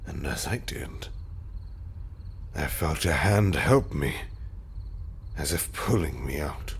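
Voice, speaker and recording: A man playing a haunted, tender undead husband in an intimate audio roleplay monologue, speaking haltingly and often slipping into breathy whispers. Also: extremely low pitch, expressive, slight background noise, full character voice. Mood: desperate, afraid.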